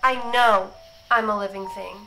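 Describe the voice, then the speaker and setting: A woman's voice: mid-range voice, steady tone, normal speed, creaky, pushed and bright, faint music behind, low-quality recording. A woman playing an earnest, anxious android who insists she is alive, hesitant and guarded, in a sci-fi radio drama. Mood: indignant. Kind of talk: science fiction radio drama acting.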